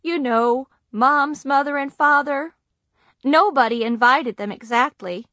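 A woman voicing an upset girl of about twelve who protests in a high, loud, tearful voice. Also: measured pace, no echo. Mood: flustered. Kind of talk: audiobook character voice.

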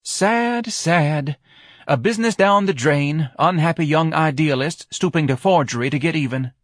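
A man's voice: fairly high pitch, normal speed, dry recording, clean studio sound, voice pushed up, lightly acted. A man voicing a melodramatic, self-righteous middle-aged wife in an audiobook, grandly reproachful and scornful. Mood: theatrical, gushing. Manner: overwrought, martyred, grandly reproachful.

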